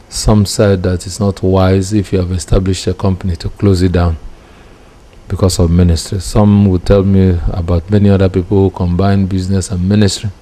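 A middle-aged Nigerian man with a Nigerian accent, a preacher giving personal testimony deliberately, phrase by phrase. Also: low-pitched, dark tone. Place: indoor room with curtains. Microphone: microphone close in front. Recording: clear background noise.

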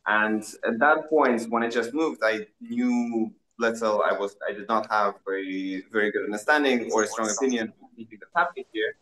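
A man's voice: on the low side, normal speed, hardly any pauses, fairly bright tone, rough recording. A young man from Russia on a video call from a small indoor room, answering questions earnestly with frequent restarts and fillers. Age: young adult. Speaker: interviewed activist. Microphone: laptop webcam on a video call.

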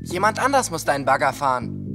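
A boy hero from a kids' cartoon audio play. He gives clear, encouraging instructions with very varied intonation, over prominent music. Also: mid-range voice, huge pitch swings, quick pace. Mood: calm, encouraging. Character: boy leader of rescue pups, calm, encouraging. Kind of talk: children's cartoon audio drama dialogue.

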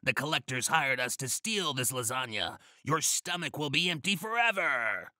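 Man doing a sharp, bright mercenary villain. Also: medium pitch, animated, normal speed, no room sound, studio quality, pitched above the performer's own voice. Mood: gloating. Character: villainous male mercenary leader, gloating yet cowardly.

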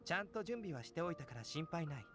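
A man playing a calm, courteous young alchemist in an anime game, speaking in a measured, gentle, analytical way. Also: on the high side. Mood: calm, explanatory. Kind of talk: scripted anime game dialogue. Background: game music.

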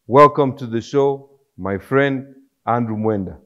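A man in his thirties hosting a studio talk show, asking questions in a friendly, relaxed way. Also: medium pitch, a little slow. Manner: friendly, laid-back questioning. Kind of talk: casual interview. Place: studio set with armchairs. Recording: slightly dull studio audio.

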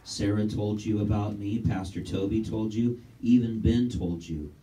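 An actor on a church stage playing a calm, compassionate savior who speaks earnestly. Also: male voice, fairly low pitch, close to an everyday voice. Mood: sorrowful. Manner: gentle, earnest, measured. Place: church stage set, indoors. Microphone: distant camera mic. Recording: distant stage voice.

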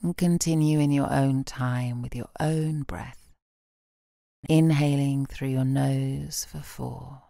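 A British woman leading a guided relaxation meditation in a calm, soothing, soft voice, with gentle instructions and long pauses. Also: extremely low pitch, gravelly, no echo, clean studio sound. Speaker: yoga nidra meditation guide.

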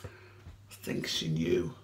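A young man acting a dramatic stage monologue as a distraught, haunted man, speaking haltingly and emotionally with long pauses. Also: slight background noise, lightly acted. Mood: bitter, fearful. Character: distraught man haunted by his girlfriend's suicide. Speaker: amateur actor.

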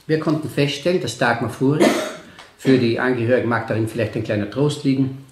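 An actor playing a formal, solemn forensic pathologist giving a briefing in a radio drama. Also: male voice, mid-range voice, a little fast, noisy background, lightly acted. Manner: formal, clinical. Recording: roomy, reverberant hall sound.

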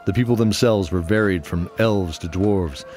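A man's voice: deep voice, normal speed. A man narrating a fantasy audio drama as scripted storytelling. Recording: music under the voice. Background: music.

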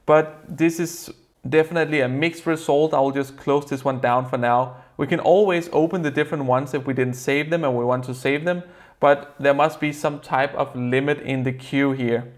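A young man with a Danish accent, a native Danish speaker, earnestly explaining a software review to camera from a desk in a plain room. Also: medium pitch, steady tone, moderate pace. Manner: earnest, explanatory, slightly non-native phrasing.

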